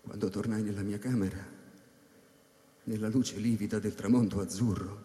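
An actor playing a troubled space station scientist, narrating in the first person like radio theatre, moving between hushed confiding and urgency. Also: male voice, fairly low pitch, steady tone, moderate pace, close to an everyday voice. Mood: hushed, fearful. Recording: echoey reverberant hall with music behind.

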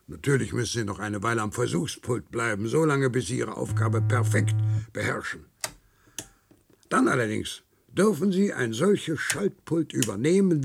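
An actor playing a meticulous, controlling male instructor in a science-fiction radio play, didactic and condescending, with a husky, creaky voice. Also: medium pitch. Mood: patronising, solemn. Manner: didactic, hesitant pauses, condescending.

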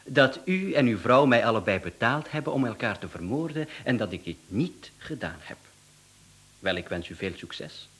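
An actor playing an unflappable, courteous contract killer and swindler in a radio play, speaking with a Flemish accent and businesslike politeness. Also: male voice, mid-range voice, sing-song, moderate pace, fairly bright tone. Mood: mocking, calm.

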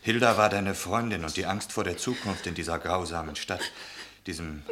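An actor in a German radio play, playing an indignant but soft-hearted outsider with an impassioned, reproachful delivery. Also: male voice, deep voice, fairly even intonation, moderate pace, echoey room, slight background noise. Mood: consoling, bitter.

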